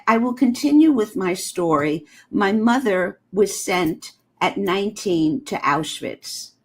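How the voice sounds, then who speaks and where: A woman's voice: lowish voice, husky. An older woman whose first language is German, telling a personal story as a guest with earnest, heartfelt feeling.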